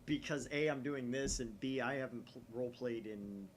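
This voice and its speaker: A man's voice: medium pitch, normal speed, a little hiss or hum. A middle-aged man casually chatting and commenting as host of a gaming video. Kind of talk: casual chat, commentary during a game.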